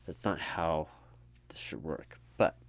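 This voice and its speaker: A man with a very low voice and heavy vocal fry plays a recruiter in a chatty ASMR roleplay. He rambles on with little energy, sounding apathetic and jaded, with a sardonic edge. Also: slow. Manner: rambling, sardonic asides mimicking corporate talk. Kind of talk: conversational ASMR roleplay monologue.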